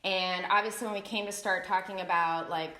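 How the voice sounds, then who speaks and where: A middle-aged woman hosting a casual interview, chatty and playful with quick asides. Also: medium pitch, fairly even intonation, sharp tone. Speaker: brand founder and host. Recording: echoey room.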